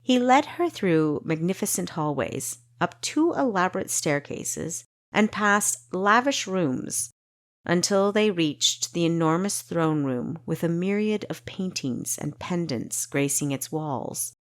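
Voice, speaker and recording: Slow, low-voiced woman narrating. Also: animated, dry recording, clean studio sound. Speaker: author and audiobook narrator. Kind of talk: scripted fantasy audiobook narration.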